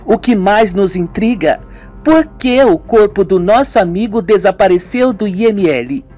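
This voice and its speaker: A man's voice: sits high, animated, a little slow, a little mellow, soft background music, voice pushed up. A man voicing a suspicious young woman who asks probing questions in a radio soap. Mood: desconfiada.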